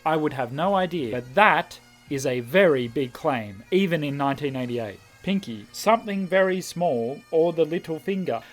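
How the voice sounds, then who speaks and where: A man's voice: fairly high pitch, expressive, moderate pace, soft background music. A man vlogging as he reads passages from a book aloud, commenting in between and reacting with surprise and laughter. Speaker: language vlogger.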